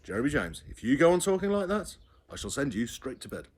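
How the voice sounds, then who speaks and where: A man's voice: on the low side, lively intonation, a little fast, husky. A man voicing a patient but stern mother who scolds firmly in clipped phrases. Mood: scolding.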